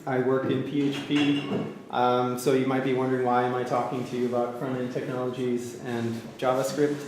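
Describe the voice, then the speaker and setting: A man from Austria, giving a tech talk to a meetup crowd in English, halting, with many fillers and restarts, and a joking, self-deprecating manner. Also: mid-range voice, little pitch movement, darkish tone. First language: German. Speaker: conference speaker. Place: large echoey hall with a crowd. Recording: very echoey hall, faint noise.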